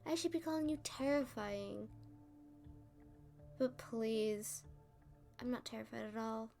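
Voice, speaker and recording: A woman playing a playful, smug female supervillain in an ASMR roleplay, teasing and taunting with drawn-out phrasing. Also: fairly high pitch, gravelly, darkish tone, soft background music.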